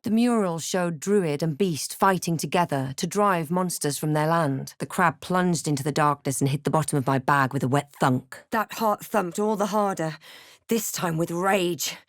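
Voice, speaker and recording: A woman voicing a wary, composed adventurer heroine for a fantasy video game, with measured, dramatic delivery. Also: low-pitched, no echo, clean studio sound, close to an everyday voice. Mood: watchful, measured.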